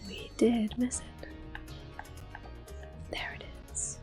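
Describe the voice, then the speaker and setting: A woman giving soft-spoken, gentle ASMR commentary over a video game, in a breathy whisper. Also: over music. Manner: gentle, unhurried. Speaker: ASMR gameplay host.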